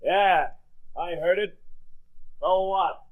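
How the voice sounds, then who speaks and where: An actor playing a confident, menacing gang boss calling out threats in a 1940s radio drama. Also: male voice, high voice, slow, no echo, narrow, tinny audio.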